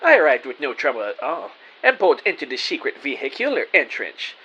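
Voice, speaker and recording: A man's voice: medium pitch, wildly swooping pitch, brisk, pushed and bright, dull audio, a little hiss or hum. A man playing an earnest, nervous rookie detective, narrating an audio drama in the first person. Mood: upbeat, earnest.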